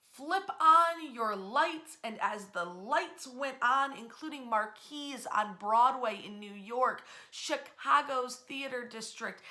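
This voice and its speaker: A woman hosting an informal history storytelling talk, speaking conversationally with animated asides and emphatic pauses. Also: fairly high pitch.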